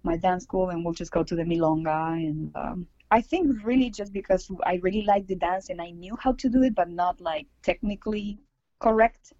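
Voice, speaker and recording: A woman's voice: fairly low pitch, moderate pace, warm tone. A young woman from Argentina talks casually about tango in a podcast interview, sprinkling her answers with "like" and "you know." Her voice sounds dry and a little dull, as if recorded with no room echo. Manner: chatty, many fillers and like, you know. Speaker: tango dancer and teacher.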